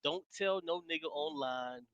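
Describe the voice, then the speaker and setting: A man hosting a casual online panel, talking bluntly and loudly, cutting in to hold the floor. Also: on the high side, moderate pace, dull audio, a crowd in the background. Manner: loud, blunt, profane, cuts in with repeated "hold on". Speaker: show host. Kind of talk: casual podcast chat.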